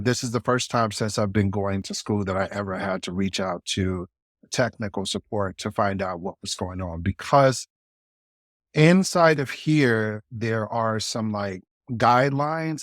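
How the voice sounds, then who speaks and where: Casual man with a low-pitched voice. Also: no room sound, clean studio sound. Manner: informal, instructive, addresses viewer directly. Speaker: student video creator giving a course walkthrough. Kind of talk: casual explanatory walkthrough.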